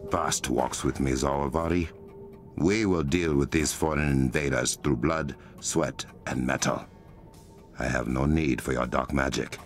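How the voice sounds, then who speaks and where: An actor playing a stern, regal warrior king in a video game, commanding, formal and measured. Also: a bass voice, steady tone, faint music behind. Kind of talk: video game cutscene acting.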